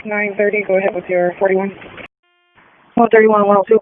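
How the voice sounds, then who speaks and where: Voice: mid-range voice, little pitch movement, fairly quick. A police dispatcher reading out call details over a two-way radio in a clipped, procedural way. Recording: noisy, tinny narrowband police radio.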